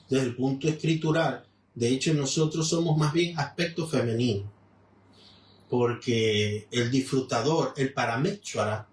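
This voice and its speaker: A man's voice: mid-range voice, distant mic. Man explaining in an echoey room. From Venezuela. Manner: measured, explanatory, many restarts. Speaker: religious lecturer reading and commenting. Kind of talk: spoken reading with commentary. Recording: echoey room, off-mic with faint noise.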